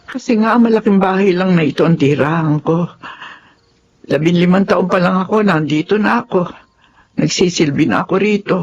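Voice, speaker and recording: An actress playing an elderly, stubborn and sentimental lifelong housemaid in a radio drama. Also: female voice, on the low side, moderate pace, a little mellow, dull audio, lightly acted. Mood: troubled. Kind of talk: Tagalog radio drama acting. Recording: music under the voice.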